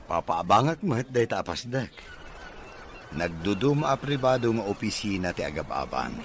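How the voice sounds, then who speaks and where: A man acting as a friendly bachelor in an audio drama. He is casual and teasing, with a lively, swooping delivery. Clear noise runs behind him. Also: fairly low pitch, moderate pace. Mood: playful, friendly. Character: easygoing bachelor man, friendly suitor and customer. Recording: noticeable background noise.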